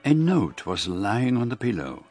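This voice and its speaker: Radio drama detective: foreign, shrewd, fussy, courteous, formal; slightly husky, mid-pitched voice in a dry studio. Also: male voice, sing-song, a little mellow, no echo, faint music behind. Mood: measured narration. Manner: precise, formal, deliberate phrasing. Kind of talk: radio drama dialogue and narration.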